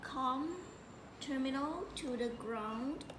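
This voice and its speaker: A woman giving a hands-on installation tutorial as a product presenter, speaking in halting, piecemeal phrases with repetition. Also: high voice. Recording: echoey room with clear background noise.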